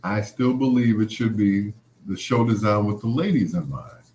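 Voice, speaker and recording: Man, lower-pitched voice, casual show host, rambling conversational storytelling, roomy echoing recording. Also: moderate pace, a little mellow, slight background noise. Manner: conversational, anecdotal, quoting past exchanges. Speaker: host of a late-night show. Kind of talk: casual rambling monologue to listeners.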